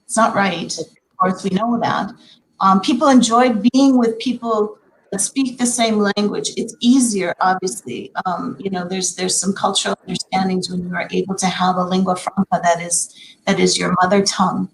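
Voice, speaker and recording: Woman, forties, Minnesotan accent, mid-pitched voice, reflective, lots of ums. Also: steady tone, moderate pace, creaky, poor audio. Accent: Minnesotan American. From Minnesota, USA. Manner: earnest and reflective, many ums and restarts. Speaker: educator and panel guest. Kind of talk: conversational panel discussion.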